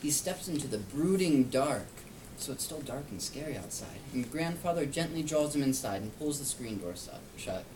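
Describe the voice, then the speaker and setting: A man's voice: mid-range voice, lively intonation, normal speed. A man reading a picture book aloud to a young child, explaining and asking simple questions as he goes. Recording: distant mic, clear background noise.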